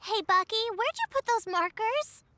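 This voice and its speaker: An actor voicing a sweet, helpful young female fox in a children's cartoon, with a gentle, encouraging delivery. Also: extremely high pitch, animated, moderate pace, a little hiss or hum, exaggerated voice. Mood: matter-of-fact. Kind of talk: animated children's cartoon acting.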